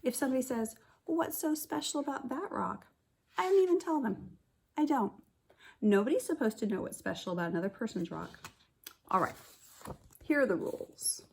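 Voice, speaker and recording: A woman kindergarten teacher reading a picture book aloud, with expressive stressed words and chatty asides to the children. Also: high voice, moderate pace, vocal fry, pauses often, slight background noise.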